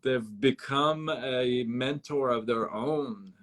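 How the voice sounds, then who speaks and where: A man hosting a casual interview, talking in halting phrases with frequent stutters and repeated words. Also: mid-range voice, a bit bright. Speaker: host.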